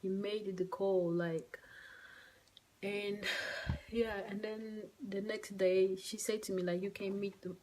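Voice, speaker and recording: A young woman who speaks English as a second language, telling a personal story to camera in a halting, soft, emotional way with many fillers. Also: fairly low pitch, slightly rough, rough recording. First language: not English. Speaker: vlogger. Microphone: phone or camera mic.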